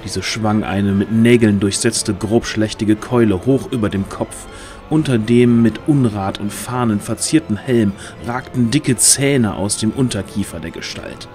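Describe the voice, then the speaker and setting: A man's voice: fairly low pitch, unhurried, a little mellow. A man narrating a fantasy audio drama in a dramatic, scripted storytelling style. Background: music.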